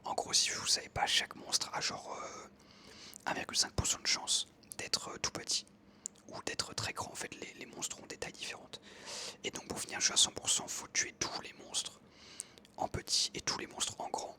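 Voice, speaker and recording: Young ASMR gaming narrator, close-miked breathy whisper, very sibilant and sharp, casual enthusiastic chat. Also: normal speed. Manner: informal, enthusiastic gamer talk. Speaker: ASMR creator narrating own gameplay. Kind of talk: ASMR whispered casual storytelling over gameplay.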